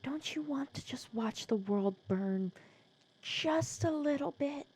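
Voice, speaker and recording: A woman playing a sly, secretly caring female supervillain in an intimate ASMR roleplay, casual and teasing, softly breathy. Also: high-pitched, animated, takes it slowly. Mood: teasing, coaxing. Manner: casual, teasing, persuasive, sardonic.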